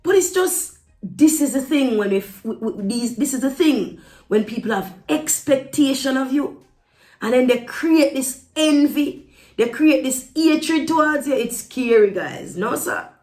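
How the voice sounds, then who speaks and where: A woman's voice: high voice, expressive, unhurried, darkish tone, roomy sound. A woman giving casual opinion commentary to viewers, speaking emphatically and repeating phrases for stress. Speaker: vlogger.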